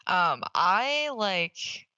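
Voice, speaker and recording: A woman co-hosting a gaming podcast, chatting casually in an animated, halting way and often correcting herself. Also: medium pitch, no echo, lo-fi sound. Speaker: podcast co-host.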